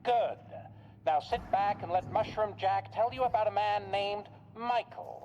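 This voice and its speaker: An eerie radio storyteller from a horror game, performed by a woman, speaking at a middling pitch. The delivery is folksy and theatrical, leaning on dramatic pauses to spin macabre scripted tales. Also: a little mellow, muffled recording, a little hiss or hum, natural voice.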